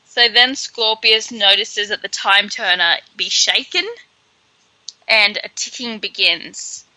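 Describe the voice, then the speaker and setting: A woman co-hosting a podcast, chatting casually through a book with animated, sardonic asides. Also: medium pitch, slow pace, no echo, low-quality recording. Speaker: podcast co-host. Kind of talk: casual book read-along chat.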